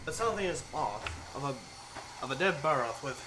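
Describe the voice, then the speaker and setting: A man giving a halting, casual recap as narrator of a gaming video. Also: medium pitch, lively intonation, noisy background. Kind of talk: casual, improvised story recap commentary. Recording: distant mic under game music.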